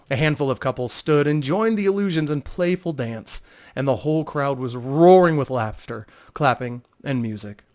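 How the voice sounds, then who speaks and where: Guy narrating a fantasy audiobook, medium pitch, dramatic storytelling read.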